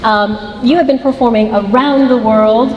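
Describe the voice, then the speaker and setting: A woman's voice: fairly high pitch, moderate pace, highs cut off, noisy background. A woman news anchor hosting a pre-concert interview, bubbly and laughing often. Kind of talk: interview moderation. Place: concert hall stage. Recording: echoey hall, from a distance.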